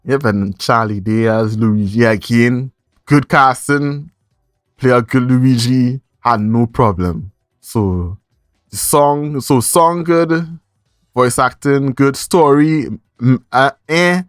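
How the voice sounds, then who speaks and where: A man's voice: medium pitch, slow pace, smooth, no room sound, studio quality, soft background music. A man with a Trinidadian accent hosting a casual movie review chat, speaking in slangy, repetitive phrases. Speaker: podcast host.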